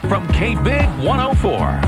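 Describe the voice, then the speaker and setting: An American man announces punchy radio station promos. His delivery swings dramatically in pitch over loud music. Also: huge pitch swings, unhurried.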